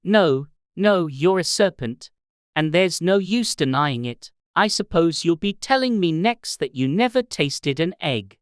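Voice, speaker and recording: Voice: animated, unhurried, clean tone, no echo, studio quality, natural voice. A narrator voicing an indignant, fretful mother pigeon who scolds with contempt in a storybook reading. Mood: contemptuous scolding. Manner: scolding, shrill exclamations, contemptuous.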